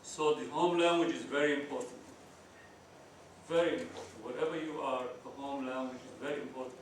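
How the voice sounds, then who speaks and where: A middle-aged man, an ESL teacher giving a workshop talk, halting with many restarts and fillers. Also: medium pitch, fairly even intonation. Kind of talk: workshop presentation. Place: conference room. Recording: echoey hall, distant mic, noisy.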